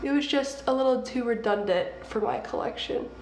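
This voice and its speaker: A woman's voice: a little high, fairly quick, a little mellow, slight background noise. A young woman with a stuffed-up nose from a cold, chatting casually as she reviews makeup. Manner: chatty, gushing, many fillers. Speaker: beauty vlogger. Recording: echoey room.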